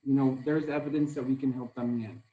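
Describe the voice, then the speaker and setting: A man's voice: mid-range voice, fairly even intonation, fairly quick, mellow, reverberant, slight background noise, poor audio. A male psychologist speaking earnestly on a live online panel, filling his speech with "you know". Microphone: video call.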